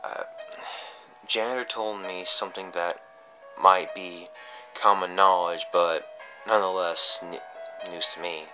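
A man giving an amateur dramatic reading of a horror story as a confessional, halting and stumbling, with drawn-out pauses. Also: low voice, steady tone, pushed and bright, faint music behind, low-quality recording.

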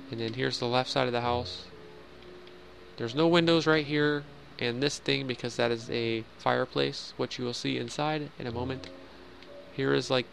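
A man's voice: mid-range voice, gravelly, fairly bright tone, slight background noise. A young man casually narrating a game house tour in voice-over, rambling with fillers and joking at himself. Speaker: Minecraft builder giving a house tour.

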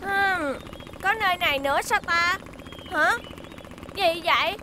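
A woman's voice: very high-pitched, slow, heavy background noise. A woman voicing a helpful, alert young robot rescue vehicle, earnest, in a children's cartoon dub. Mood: curious, excited. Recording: music under the dialogue.

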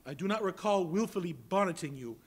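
High-pitched, anxious old miser acted by a man. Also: lively intonation, fast. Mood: nervous. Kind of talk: live staged reading of a Christmas play. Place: stage in a dim auditorium. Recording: live stage recording with music behind.

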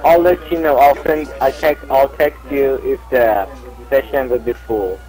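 A man chatting casually in an online game, talking haltingly and repeating himself. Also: mid-range voice, steady tone, mellow, soft background music. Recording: faintly noisy game voice chat.

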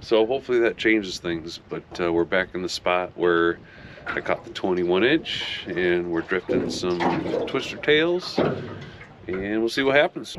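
A man in his fifties casually narrating his fishing trip from a small boat on a lake, relaxed and matter-of-fact. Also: deep voice, unhurried, noisy background. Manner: relaxed, matter-of-fact, upbeat when a fish bites. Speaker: fishing vlogger. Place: small aluminum boat on an open lake, outdoors. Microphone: action camera mounted in the boat.

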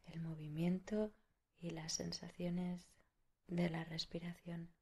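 Low-pitched Spanish woman guiding meditation, even-toned. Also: slightly rough. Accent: Spain. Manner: soothing, unhurried, with long pauses. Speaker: meditation guide. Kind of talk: guided meditation.

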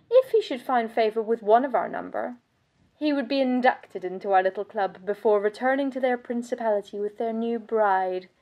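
Actress doing a snooty bitter vampire countess, theatrical monologue, kinda high voice, very pompous and sardonic. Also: female voice, darkish tone, lightly acted. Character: haughty aristocratic vampire countess, bitter, world-weary widow of Dracula. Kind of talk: scripted dramatic monologue.

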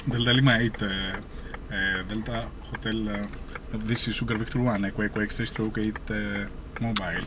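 A man in his thirties with a Greek accent, speaking haltingly as an amateur radio operator in a radio contact. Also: on the low side, level tone. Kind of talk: ham radio contact in English. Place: inside a parked car. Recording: dull distant in-car recording, vehicle noise.

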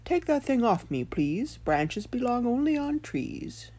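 Woman voicing a high, prim complaining stone. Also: big pitch swings, unhurried, mellow. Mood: pleading, prim. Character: prim, complaining talking stone in a children's tale.

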